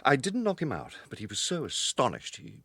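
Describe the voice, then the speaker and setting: Flustered British gentleman, wildly swooping pitch. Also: huge pitch swings, brisk, creaky, slightly rough, dry recording, full character voice. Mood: confessional, embarrassed. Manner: hesitant, faltering, apologetic. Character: anxious, gentlemanly actor, protective and flustered. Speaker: radio drama actor. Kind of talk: British radio detective drama acting.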